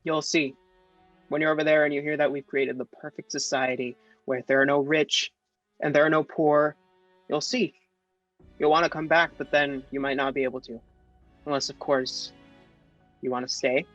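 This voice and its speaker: A man's voice: fairly high pitch, flat delivery, moderate pace, long pauses, fairly bright tone, no echo, lo-fi sound, faint music behind, voice pushed up, lightly acted. A man doing a sneering official. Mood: menacing. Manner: taunting, contemptuous. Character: sneering male airport official.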